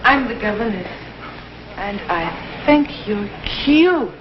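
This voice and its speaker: A woman's voice: mid-range voice, lively intonation, takes it slowly, noisy background. A woman playing a bold, flirtatious wife in a 1960s TV comedy sketch, coquettish and emphatic. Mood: amorous. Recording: dull 1960s TV soundtrack, music, loud audience.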